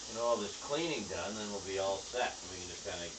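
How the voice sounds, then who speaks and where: A man's voice: on the low side, lively intonation, a little fast, far from the mic, noisy background. A man cooking and cleaning in his home kitchen, chatting casually and rambling to viewers with lots of fillers. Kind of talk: casual live-stream chat.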